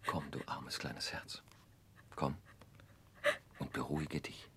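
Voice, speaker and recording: An actor playing a sturdy, gentle, devoted young working man in a 1960s German radio drama, speaking simply and soothingly in a hushed way. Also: very deep voice, flat delivery, slow, husky, in a whisper, exaggerated voice.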